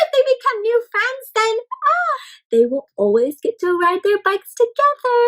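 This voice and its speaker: A woman with a high voice reads a picture book to young children. She is expressive and interactive, exclaiming over things and often putting questions to her young listeners as she goes. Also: rough recording. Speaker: children's read-aloud storyteller.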